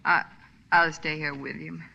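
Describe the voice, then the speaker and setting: A proud, fearful young wife in a forties radio play. Her voice is very bright and strained, quite low for a woman's or not, always earnest and halting, on a dull old recording. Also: level tone, vocal fry, breathy edge, heavily acted. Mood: contrite, earnest. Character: proud, frightened young wife, tense and contrite. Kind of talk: 1940s radio drama acting. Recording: dull, band-limited old radio broadcast.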